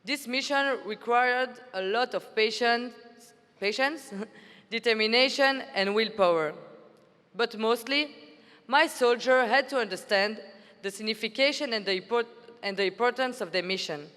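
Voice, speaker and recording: A woman's voice: on the high side, moderate pace, a bit bright. A woman in her twenties from Belgium, an army officer giving an earnest, formal prepared speech at a conference. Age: 20s. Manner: earnest, formal, read-aloud delivery. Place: large conference hall. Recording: hall reverb from a venue PA.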